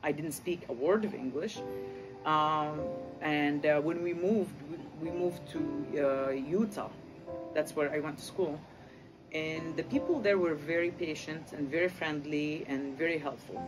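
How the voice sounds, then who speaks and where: A man from Lebanon whose first language is Arabic, telling his story of migrating in a halting way with many fillers. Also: fairly high pitch, rough recording. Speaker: interviewee. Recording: music playing under the voice.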